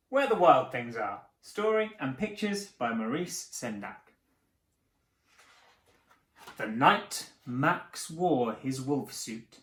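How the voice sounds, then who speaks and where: A man hosting a picture book reading, narrating theatrically for children with dramatic pauses. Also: mid-range voice, fairly bright tone. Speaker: host reading picture books aloud. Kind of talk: animated children's story narration. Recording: roomy, echoing sound.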